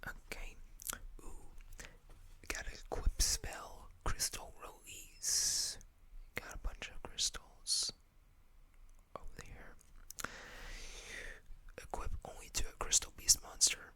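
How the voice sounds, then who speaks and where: A host whispering gently and unhurriedly into a close microphone, reading out card game text in ASMR style with small asides. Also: slow, long pauses.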